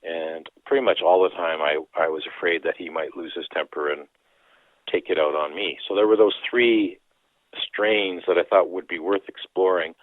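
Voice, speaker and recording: A man's voice: sits low, steady tone, normal speed, dry recording. A man in his sixties from Canada, a priest and author, giving reflective interview answers with frequent restarts. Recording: tinny phone-like line.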